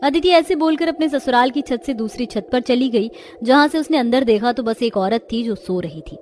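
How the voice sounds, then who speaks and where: A woman's voice: on the high side, normal speed, a little mellow, slight background noise. A woman delivering scripted, dramatic narration for a cartoon story.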